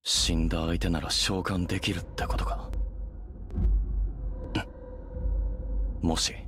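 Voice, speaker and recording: A man playing a brooding young hunter in an anime game cutscene, measured, musing and commanding, with a deep voice. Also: little pitch movement, background noise, lightly acted. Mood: solemn, commanding. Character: brooding young male hunter gaining necromancer powers. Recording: loud music behind the voice. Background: loud soundtrack music.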